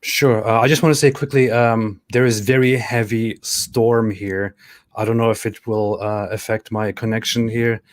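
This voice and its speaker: A man from Germany, a guest on a video call interview, telling his story in a measured, hesitant way with filler "um"s. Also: fairly low pitch, steady tone.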